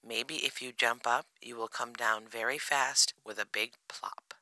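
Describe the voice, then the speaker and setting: A narrator voicing a motherly female bear, gently teasing and reassuring, in a children's storybook. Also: mid-range voice, fairly even intonation, a little slow, no room sound, low-quality recording. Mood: skeptical, teasing. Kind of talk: children's storybook character reading.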